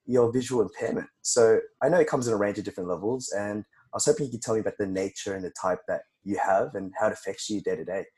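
A man hosting a podcast interview in a friendly, relaxed way, who laughs easily and stumbles over words now and then. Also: on the low side, fast talker, few pauses, poor audio. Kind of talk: casual interview conversation.

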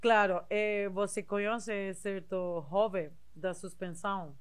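A woman from southern Spain, a native Spanish speaker, talking casually in non-native Portuguese with a Spanish accent, slightly halting and mixing in Spanish words. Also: mid-range voice, steady tone, clear, resonant tone. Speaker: interview guest, body piercer. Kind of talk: casual conversational storytelling.

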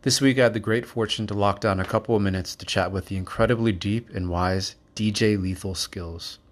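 A man with a very low, deep voice hosting a podcast. He opens with a steady scripted intro, then shifts into relaxed, friendly questions for his guest. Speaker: podcast host. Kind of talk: podcast interview.

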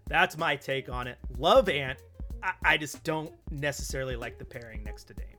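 A man's voice: medium pitch, moderate pace, sharp tone, faint music behind. A man chatting casually about basketball as a podcast co-host, offering opinions and rhetorical questions. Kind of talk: opinionated casual sports chat.

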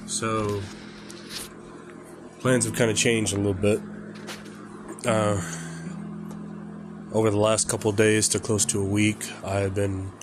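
A man hosting a casual podcast, stuffy and congested and exhausted from lack of sleep, talking in a rambling, halting way with fillers. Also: lowish voice, little pitch movement, noisy background. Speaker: podcast host. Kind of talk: casual song reaction chat. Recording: music playing loudly behind him. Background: rock music.

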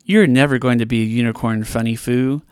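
A man's voice: medium pitch, fairly even intonation, fairly quick, darkish tone, clear, resonant tone, no echo, natural voice. A small teasing parakeet with a plain, matter-of-fact voice, as a man reads him for a storybook. He barely shifts from his own voice. The recording is dry.

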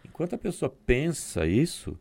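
Gravelly-voiced man explaining calmly. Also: medium pitch. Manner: measured, explanatory, with hesitant restarts. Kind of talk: discussion program conversation.

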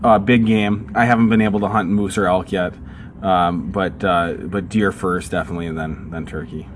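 Tiefe Männerstimme, Minnesota-Akzent. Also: male voice, sits low, steady tone, normal speed, a little hiss or hum. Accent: northern Minnesotan. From southern United States. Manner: rambling storytelling with many fillers. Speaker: podcast co-host. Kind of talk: casual chat.

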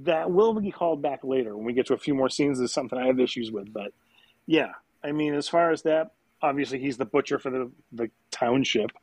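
Husky wisecracking man, dry sound. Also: medium pitch, a little fast, a little mellow, no room sound, low-quality recording. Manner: wisecracking, swears, talks in restarts. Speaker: podcast co-host. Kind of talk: casual movie review chat.

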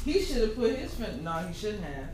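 A woman's voice: mid-range voice, big pitch swings, moderate pace, a little mellow, slight background noise. A woman co-hosting a podcast in blunt, playful casual banter. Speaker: podcast co-host.

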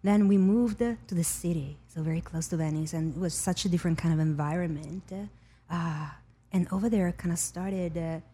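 A woman with an Italian accent talking reflectively as a podcast guest, often pausing mid-thought. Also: on the low side, fairly even intonation, warm tone, husky. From Italy. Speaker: podcast guest, spiritual healer and teacher. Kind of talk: reflective casual conversation.

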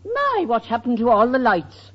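A woman's voice: a little high, wildly swooping pitch, normal speed. An actress playing a fluttery, bustling older landlady in a 1940s radio comedy. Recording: muffled, faintly noisy old radio recording.

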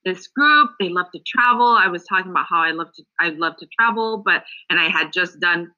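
A woman's voice: on the low side, a little fast, sharp tone. A woman from Alaska casually sharing personal stories as a guest, heard in a dull, lo-fi recording.

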